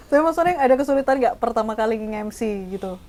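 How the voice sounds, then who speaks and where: A woman's voice: on the high side, animated, fast, darkish tone. A woman hosting a radio interview, listening closely, laughing often and asking questions. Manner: attentive, laughs often, many short backchannels. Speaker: radio program host.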